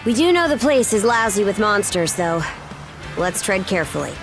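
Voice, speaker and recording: An actress playing a resolute young seafaring adventurer in a fantasy game, with a brisk, matter-of-fact delivery. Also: female voice, mid-range voice, very animated, rough recording. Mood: alert, businesslike. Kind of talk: video game cutscene voice acting. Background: music.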